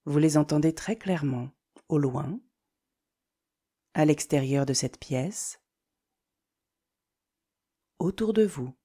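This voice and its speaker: Low, mellow woman guiding deep relaxation. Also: breathy edge. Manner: calm, soothing, measured, with deliberate pauses. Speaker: yoga nidra guide. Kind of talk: guided relaxation meditation, slow instructions.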